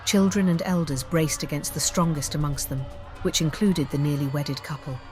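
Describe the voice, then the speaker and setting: Low-voiced woman storyteller over music. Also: normal speed, darkish tone, poor audio. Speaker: audiobook storyteller. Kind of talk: scripted dark fantasy story narration. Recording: music bed behind the voice. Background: background music.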